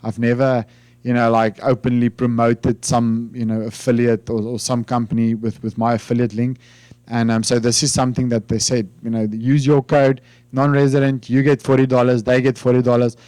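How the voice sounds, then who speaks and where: A man's voice: medium pitch, level tone, normal speed, darkish tone, no echo. A man from South Africa with a South African accent, Afrikaans his first language, chatting informally to his channel about finance with lots of fillers and restarts. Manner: rambling, hesitant, many fillers and restarts. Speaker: finance YouTuber. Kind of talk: informal talk to camera.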